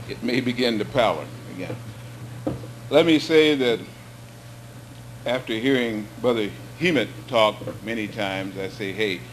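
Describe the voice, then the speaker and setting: A man's voice: on the low side, slight background noise. A middle-aged man giving a public lecture at a podium in a hall, talking conversationally and rising to emphatic points. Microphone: stand mics on stage.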